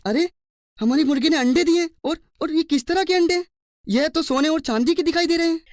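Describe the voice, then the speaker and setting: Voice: sits high, brisk, no echo, studio quality, natural voice. A performer voicing a poor, compassionate and stubborn hardworking husband in a cartoon. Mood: earnest, amazed. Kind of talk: cartoon dialogue.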